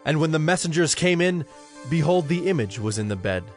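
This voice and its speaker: A man's voice: fairly high pitch, animated, brisk. A man narrating scripture from a script as the narrator of a dramatized audio production. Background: music under the voice.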